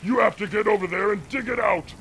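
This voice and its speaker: A man voicing a brutish male ape commander who barks orders, with a gravelly voice. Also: high-pitched, quick pace, fairly bright tone, slight background noise. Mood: bossy. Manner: barking orders.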